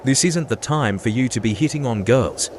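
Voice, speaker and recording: A serious subordinate devil hunter, voiced by a man in a manga dub. His pitch is medium and his tone dutiful. Noisy urban ambience sits behind the voice. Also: expressive, normal speed, lightly acted. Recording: noisy track with street ambience.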